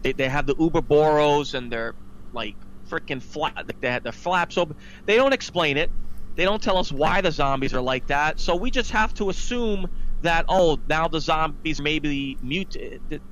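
A man's voice: on the high side, a bit bright, poor audio. A man hosting a casual podcast, giving an exasperated, opinionated recap of films in a ranting style. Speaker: podcast host. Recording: clear background noise.

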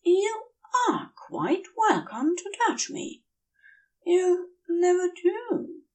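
A woman's voice: very high voice, very animated, drawn-out words, darkish tone, recorded from a distance, voice pushed up, exaggerated voice. A woman voicing a prim, dazed male angel in an audiobook, speaking with polite, rambling earnestness and longing. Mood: earnest longing.

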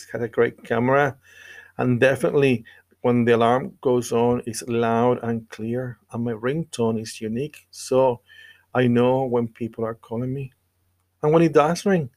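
A man delivering a scripted devotional sermon and scripture reading in an earnest, measured way with deliberate pauses. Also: mid-range voice, a little mellow. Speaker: preacher.

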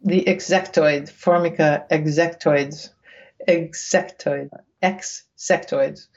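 A woman reading research aloud as a guest, with casual, bemused asides, thinking out loud in a conversational way. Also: low-pitched, fairly even intonation, takes it slowly, lo-fi sound. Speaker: guest reader reacting to research papers.

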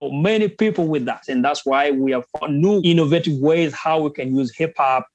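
A man's voice: a little high, normal speed, no room sound, lo-fi sound. A man with a Ugandan accent, a guest answering interview questions in an earnest way with frequent fillers. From northern Uganda. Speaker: guest, hip hop artist and activist.

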